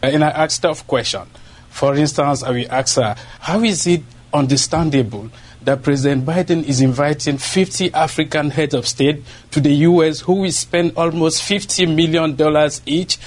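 A man's voice: on the high side, moderate pace. Earnest Cameroonian-accented man. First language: French. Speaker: reporter. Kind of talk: interview clip.

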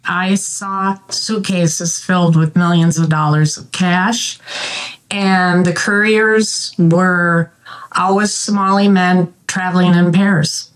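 A woman giving an unscripted eyewitness account in a deliberate, emphatic way. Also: on the low side, fairly even intonation, poor audio. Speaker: former airport security agent, witness.

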